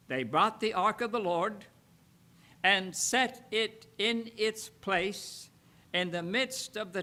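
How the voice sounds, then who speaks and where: A man reading scripture aloud solemnly, with deliberate, emphatic phrasing and frequent pauses. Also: sits high, pushed and bright. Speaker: Bible reader.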